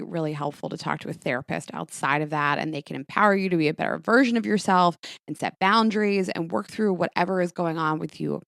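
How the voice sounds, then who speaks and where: A woman with a fairly low voice reads a sponsor message. The delivery is scripted, as in a podcast ad, and the sound is close and dry with no room echo. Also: gravelly, clear, resonant tone. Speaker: ad reader.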